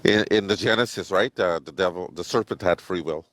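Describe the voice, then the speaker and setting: A man calling into a live-stream debate, answering haltingly with many pauses and fillers. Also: low voice, a bit bright, no echo. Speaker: caller.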